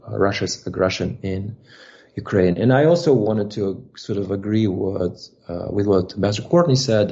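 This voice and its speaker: A man's voice: fairly low pitch, vocal fry, warm tone, muffled recording. A man speaking as a guest analyst on a policy panel, thoughtful and reflective, often checking in with "right?". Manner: thoughtful, ends points with "right?". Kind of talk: expert panel discussion.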